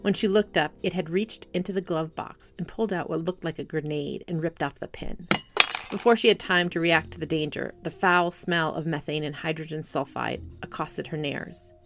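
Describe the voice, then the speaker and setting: Woman reading a short story, pretty low voice w some gravelly fry, music faintly behind. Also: normal speed, fairly bright tone. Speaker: author reading their own short story. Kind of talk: scripted story narration.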